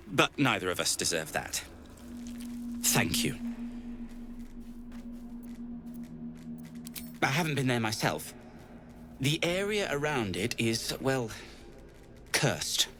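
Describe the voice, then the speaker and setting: A bright-voiced, animated gnome tinkerer. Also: male voice, mid-range voice, slight background noise. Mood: wry. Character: curmudgeonly, theatrical male gnome alchemist and adventurer. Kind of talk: video game character acting.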